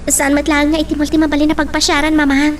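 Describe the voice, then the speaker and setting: A woman's voice: high voice, monotone, quick pace, slight background noise, lightly acted. A young woman playing a polite daughter in an Ilocano audio drama. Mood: chatty.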